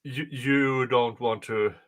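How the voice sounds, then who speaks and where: Male streamer, unhurried and bright-toned, informal, joking delivery. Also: medium pitch, fairly even intonation. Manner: jokey, quick to laugh. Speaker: livestream host. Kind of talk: casual livestream chat.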